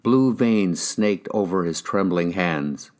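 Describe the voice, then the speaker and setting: An American man with a low voice reads a crime novel aloud. He narrates in the first person, as an actor would for an audiobook. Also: animated, slow.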